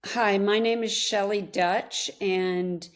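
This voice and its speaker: A woman's voice: mid-range voice, fairly even intonation, takes it slowly. A woman of seventy in recovery speaking earnestly about her health in an interview. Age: 70s. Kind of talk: recorded interview testimony.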